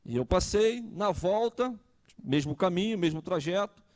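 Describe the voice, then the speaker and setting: A man's voice: high voice, lively intonation, moderate pace, a little mellow. A man, preaching a conversational sermon and asking the congregation questions. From Brazil. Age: 30s. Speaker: pastor.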